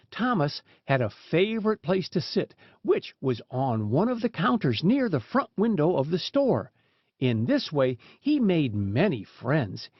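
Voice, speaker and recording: A man reading children's animal stories aloud as an audiobook, in a measured, deliberate storybook delivery. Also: fairly high pitch, no echo. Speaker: audiobook reader. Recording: dull, dry lo-fi recording.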